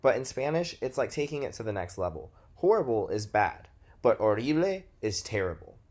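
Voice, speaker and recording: A man's voice: mid-range voice, very animated, moderate pace, a little mellow. A nineteen-year-old man from Texas with a Texas American accent, narrating a scripted presentation as a student. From Texas, United States.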